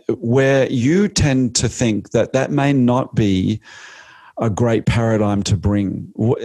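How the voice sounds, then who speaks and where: A man's voice: on the low side, creaky, mellow, no echo. A man hosting a podcast interview over a video call, introducing his guest with enthusiasm and stretched-out emphasis. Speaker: podcast host, change consultant.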